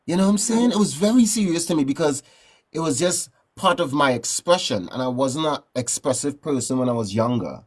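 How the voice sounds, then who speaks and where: A man from Trinidad with a Trinidadian accent, telling stories in a relaxed, rambling way as a guest in a casual interview. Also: on the high side, a little fast, slightly rough. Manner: relaxed, rambling, frequent "you know what I'm saying". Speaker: guest musician.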